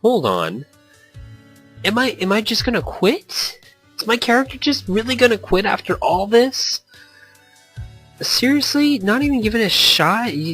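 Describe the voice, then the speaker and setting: A man's voice: high voice, a bit bright, breathy edge. A man reading a visual novel aloud as a let's-play host, stumbling over words and adding off-the-cuff commentary.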